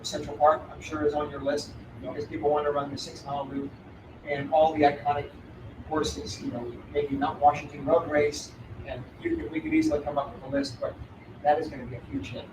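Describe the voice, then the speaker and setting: A man's voice: fairly high pitch, brisk. A man, a running expert, speaking enthusiastically and loosely to an audience. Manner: enthusiastic, loose, uses fillers like "like". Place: event room with audience. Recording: roomy hall, distant voice, clear noise.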